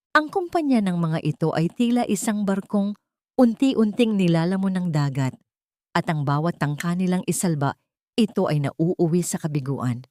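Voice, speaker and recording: A woman's voice: on the low side, darkish tone, no echo, studio quality. A woman with a Filipino accent reading a scripted, dramatic audio story as the storyteller.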